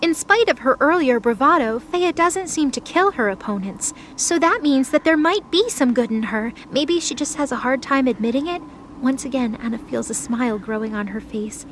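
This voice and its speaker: High-pitched woman narrating a story. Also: lively intonation, moderate pace, a bit bright, a little hiss or hum. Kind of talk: scripted audio drama narration.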